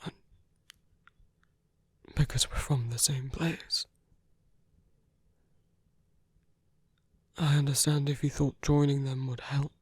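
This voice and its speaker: A performer acts out a seductive villain in a romantic ASMR roleplay. The voice is breathy and very dry, with a slow, halting delivery. It coaxes tenderly and protectively, often sinking into a whisper. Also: mid-range voice, level tone, dry recording. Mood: tender, coaxing. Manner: slow, halting, gently coaxing.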